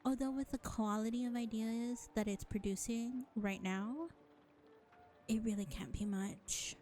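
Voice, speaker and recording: A woman's voice: on the high side, steady tone, measured pace, dark tone, faint music behind, natural voice. A woman voicing an exasperated, sarcastic vampire in an audio roleplay, drawling with mockery and scorn. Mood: mocking. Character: sarcastic, exasperated, foul-mouthed female vampire.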